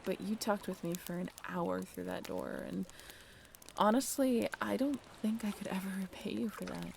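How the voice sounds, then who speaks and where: A woman playing a shy, earnest half-mermaid in an intimate audio roleplay, hesitant and soft-spoken, often slipping into breathy whispers. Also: mid-range voice, steady tone, moderate pace, background noise. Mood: vulnerable, confessional.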